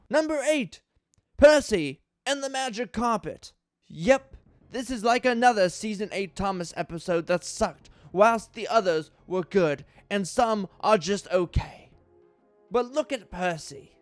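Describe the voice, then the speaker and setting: A man's voice: sits high, no room sound. A man hosting a mock dramatic reading in a theatrical, exaggerated tone of outrage. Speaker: YouTube host reading a list.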